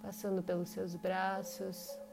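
A Brazilian woman guides a meditation at a very slow pace. Her delivery is even, with little rise and fall, and she leaves long pauses between short phrases. Clear meditative music with singing bowls plays behind her voice. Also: mid-range voice.

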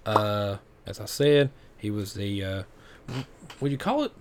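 A man's voice: fairly low pitch, animated, unhurried, slight background noise. A young man co-hosting a podcast, joking around and stumbling over his words. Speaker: podcast co-host. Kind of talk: casual chat.

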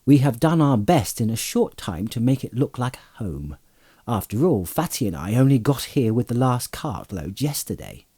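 A man reading an audiobook aloud and voicing a cheerful, bossy young male hobbit, brisk and a little raised above his narration. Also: mid-range voice, sing-song, dark tone, husky. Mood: cheery, bossy. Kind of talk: audiobook character voice.

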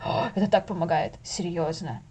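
A young woman chatting casually as a podcast guest, telling things in slangy, animated speech with the odd English word. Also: on the low side, normal speed, husky. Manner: animated storyteller, acts out little inner dialogues; slangy, drops in English words.

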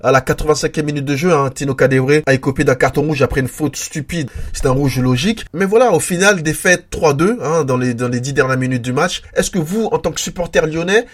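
A man speaks with a blocked nose and a sore throat from a cold. His voice sits a bit high for a man, and he talks frankly, repeating words and restarting as he goes. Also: fairly quick, few pauses. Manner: frank, repetitive restarts, stammers when tired. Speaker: football podcast host. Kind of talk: solo match debrief podcast.

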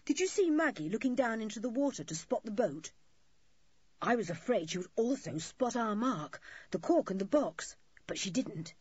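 A woman's voice: on the high side, animated, moderate pace, darkish tone, husky, no room sound, muffled recording, voice pushed up. A woman voicing a bold, defiant schoolboy who leads a group of children in a storybook, forthright and indignant. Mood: pleased.

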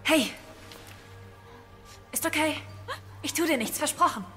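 A woman's voice: high-pitched, animated, measured pace, bright, forceful tone, soft background music, heavily acted. A young woman playing a brave, protective student caught in a zombie outbreak in a German audio drama, with an emotive delivery. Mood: gentle, reassuring. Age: young adult.

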